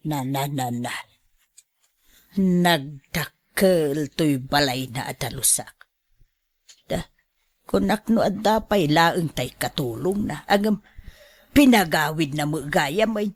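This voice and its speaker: A woman's voice: deep voice, animated, takes it slowly, long pauses, exaggerated voice. A woman with an Ilocano accent playing an older, put-upon sweetheart in a radio drama, drawing out her exclamations and complaints. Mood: complaining.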